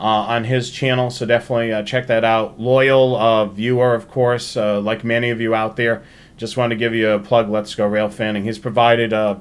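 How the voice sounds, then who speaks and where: A man hosting a livestream, talking informally through announcements in a halting way and repeating himself. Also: mid-range voice. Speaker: livestream host. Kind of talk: casual livestream sign-off and announcements.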